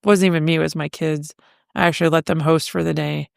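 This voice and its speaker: A woman's voice: low-pitched, steady tone, fast, gravelly, dry recording, clean studio sound. A middle-aged woman hosting her own podcast in casual solo chat, chatty and self-deprecating, laughing often. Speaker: podcast host. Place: home living room.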